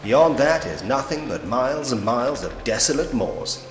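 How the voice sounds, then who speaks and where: A man's voice: mid-range voice, big pitch swings, moderate pace, lightly acted. A man playing a genial Victorian police detective with a British accent in a ghost story game, polite, quaint and dryly humorous. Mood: musing, dry. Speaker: player character in a point-and-click ghost story game. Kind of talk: video game voice acting. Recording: echoey reverberant hall sound with music. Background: music.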